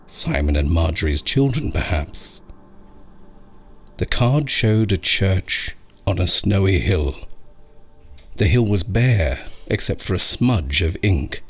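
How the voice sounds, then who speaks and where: A male narrator reads a horror story in a deep, husky voice. His pacing is unhurried and his intonation is lively and dramatic. Music plays beneath the reading. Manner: slow, expressive, dramatic storytelling. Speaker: narrator of horror short stories. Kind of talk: scripted audiobook narration of a scary story. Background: music under the voice.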